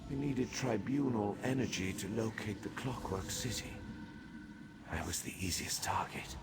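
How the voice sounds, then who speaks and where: A man playing a weakened living god in a fantasy video game, solemn, weary and labored, with a gravelly voice. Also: lowish voice, moderate pace, very noisy, close to an everyday voice. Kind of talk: video game dramatic acting.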